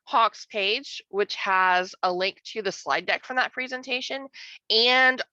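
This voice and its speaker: A woman with a bright, forward voice co-presents an online teaching webinar. She talks in a chatty, practical way with frequent fillers. She is close on a headset, dry and a little dull. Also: on the high side, measured pace, no room sound. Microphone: gaming headset.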